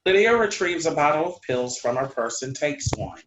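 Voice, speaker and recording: A man's voice: on the high side, lively intonation, moderate pace, fairly bright tone, slight background noise. A man reading out stage directions in scripted narration at a play reading. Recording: echoey room.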